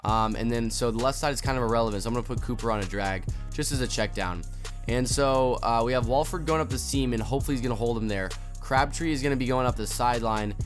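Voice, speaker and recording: A young man with a hoarse, somewhat low voice walks through a football video game play by play. His delivery is relaxed and explanatory, full of fillers, with faint music playing underneath. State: hoarse from illness. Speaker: gaming video host. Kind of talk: casual gameplay tutorial commentary.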